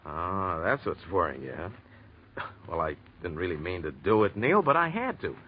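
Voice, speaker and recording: A man's voice: low voice, very animated, normal speed. An actor playing a breezy, evasive, self-serving salesman in an old radio drama, glib and defensive. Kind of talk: 1940s radio acting. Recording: muffled, noisy old radio recording.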